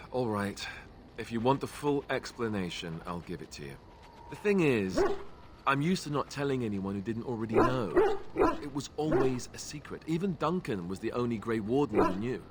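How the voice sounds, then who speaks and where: An actor playing an awkward, self-deprecating young warrior and reluctant heir in a fantasy video game, speaking in a hesitant, earnest, apologetic way. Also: male voice, mid-range voice, big pitch swings, moderate pace, noisy background. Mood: sheepish.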